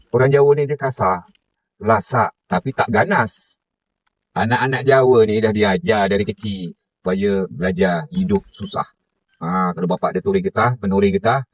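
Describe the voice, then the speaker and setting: A man's voice: on the low side, darkish tone, dry recording. A man with a Malaysian accent giving casual commentary and reminiscing in an informal way. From Johor, Malaysia.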